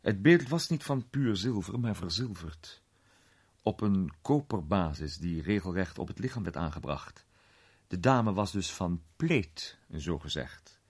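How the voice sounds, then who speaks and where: Gentleman detective for a storybook man performing: composed, well-mannered, learned, low and husky. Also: full character voice. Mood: calm, courteous. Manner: calm, polite, measured. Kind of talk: dramatized storybook reading.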